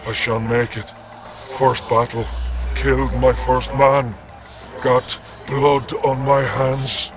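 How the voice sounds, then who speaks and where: An actor playing a grim, shaken novice soldier in a video game. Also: male voice, mid-range voice, flat delivery, slow, music in the background, natural voice. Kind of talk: dramatic video game acting.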